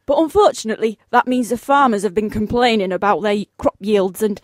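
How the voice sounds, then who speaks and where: A woman's voice: medium pitch, brisk, dry recording, clean studio sound, natural voice. A British woman playing a genteel, unflappable office boss in a comic radio play, polite, breezy and formally businesslike. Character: genteel, unflappable female office boss making absurd requests.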